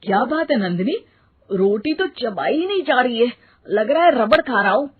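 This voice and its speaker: A grumbling old father-in-law in a storybook drama, put on by a woman. He sounds plaintive and hungry, with a husky voice, over a narrow, phone-like recording. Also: on the high side, lively intonation, moderate pace. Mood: complaining. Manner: complaining, plaintive. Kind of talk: acted moral story dialogue. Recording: muffled, phone-like narrow band.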